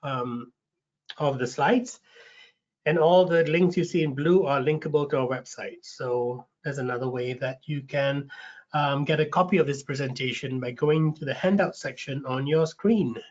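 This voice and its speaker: Guy hosting an online info session, bit raspy from a cold, lots of ums, dull lo-fi call sound. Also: medium pitch, normal speed, darkish tone. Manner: friendly, with frequent ums and restarts. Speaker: webinar host, recruitment manager. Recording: dull lo-fi webinar audio.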